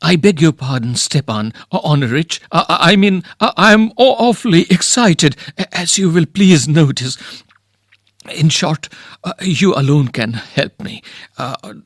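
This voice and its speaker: A man's voice: mid-range voice, lively intonation, normal speed, gravelly, few pauses, no room sound, clean studio sound. A man voicing a nervous, stammering young suitor who keeps stumbling over his words, reading a play aloud. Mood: flustered. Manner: stammering, flustered.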